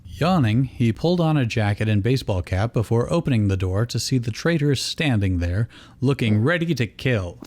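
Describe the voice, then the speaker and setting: A man's voice: medium pitch, expressive, moderate pace, darkish tone. A man reading fan fiction aloud as host, in story narration mixed with casual asides.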